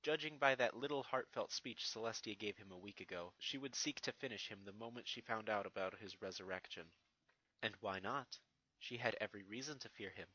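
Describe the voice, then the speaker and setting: A man's voice: fairly low pitch, sharp tone, husky, poor audio. A man giving a dramatic scripted narration of a fantasy fan fiction story.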